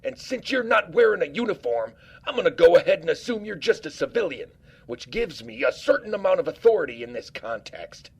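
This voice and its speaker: A man's voice: sits high, sing-song, fairly quick, creaky, a crowd in the background, heavily acted. A man playing a determined Starfleet acting captain. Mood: commanding. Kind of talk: fan audio drama acting.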